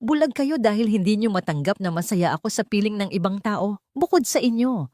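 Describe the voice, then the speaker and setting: A woman voicing a hot-tempered, defiant young man in love in an audio story, forceful and emphatic. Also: medium pitch, animated, fairly quick, no room sound, clean studio sound, voice pushed up, natural voice. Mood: furious.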